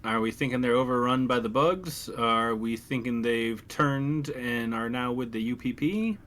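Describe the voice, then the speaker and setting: A man's voice: medium pitch, unhurried, fairly bright tone. A man chatting casually as a player in a tabletop game, with a wry manner. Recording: faint background noise on live stream.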